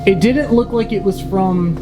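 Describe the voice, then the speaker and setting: A young man talking in an excitable, rambling way, with a slightly raised pitch. He swears and repeats himself as he chats. Clear music plays behind his voice. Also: normal speed, rough recording. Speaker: paranormal channel host. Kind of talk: casual excited chat.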